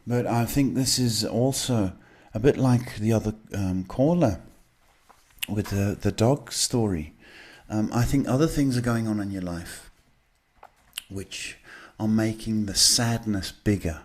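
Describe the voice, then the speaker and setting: A man with a fairly low voice gives live psychic advice. He talks casually and directly to the listener, pausing to search for words and often seeking agreement. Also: darkish tone. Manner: halting, repeats "okay" as a check. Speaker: psychic host giving live readings. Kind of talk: live advice to callers, casual spiritual talk.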